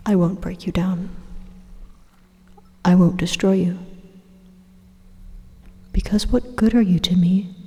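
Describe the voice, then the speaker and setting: A woman acts out a rogue supercomputer for an ASMR roleplay, coolly menacing and persuasive. The voice is dark, soft and somewhat low, with a breathy whisper to it. It unfolds its monologue slowly and deliberately, pausing for drama. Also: steady tone, exaggerated voice. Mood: smug, menacing. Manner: slow, deliberate, hushed monologue with dramatic pauses. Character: evil supercomputer machine, calmly menacing, persuasive would-be savior. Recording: long hollow reverb on the voice.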